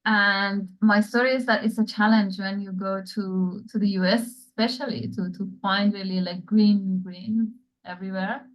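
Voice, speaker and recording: Friendly woman from the Netherlands, halting, lo-fi call. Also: medium pitch, fairly even intonation. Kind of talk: casual video call.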